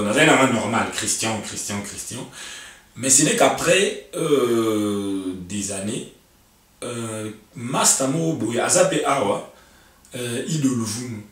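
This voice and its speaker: A man's voice: mid-range voice, animated, slow. A young man from Congo, a musician guest in a casual interview, talking animatedly with many hesitations, filmed on a sofa in a living-room set. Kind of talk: casual interview in French mixed with Lingala. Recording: echoey room, mic at a distance.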